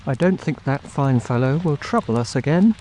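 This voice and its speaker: A man's voice: mid-range voice, lively intonation, normal speed, dark tone, slight background noise, natural voice, low-quality recording. A man playing a bold, hot-tempered young navy lieutenant and loyal son in a dramatic audiobook. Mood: defiant. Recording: faint rain ambience behind.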